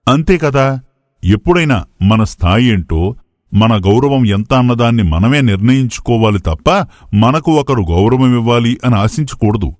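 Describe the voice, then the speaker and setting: A man's voice: medium pitch, expressive, normal speed, a little mellow, no room sound, clean studio sound, natural voice. A man playing a wise, patient elderly adviser in a moral story, speaking in a didactic, reassuring way. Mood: patient advising.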